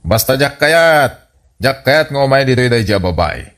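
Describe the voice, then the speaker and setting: A man acts out a grumpy middle-aged Ilocano father in a radio comedy. He is blunt and insistent, digging in stubbornly with a mid-pitched voice. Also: animated, measured pace, fairly bright tone. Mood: stubborn, annoyed. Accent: Filipino, Ilocano. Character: grumpy, stubborn father who shoos away women visitors.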